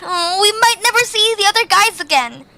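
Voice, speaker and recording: Casting: anxious kindhearted young cartoon-style heroine, extremely high voice, stammering and earnest, hissy home recording. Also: female voice, lively intonation, moderate pace. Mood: anxious, pleading. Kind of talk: animated audio drama acting.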